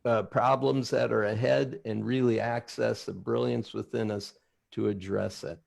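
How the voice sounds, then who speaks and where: A man's voice: mid-range voice, fairly even intonation, moderate pace, vocal fry. A man from Minnesota, a guest telling stories eagerly in a casual chat over a video call.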